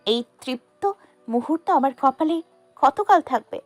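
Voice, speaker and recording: Female narrator as meek, lovelorn college girl in romance audio story; high-pitched, hesitant, dry sound. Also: expressive, measured pace, a little mellow, no echo, soft background music. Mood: wistful. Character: timid, lovelorn young female university student.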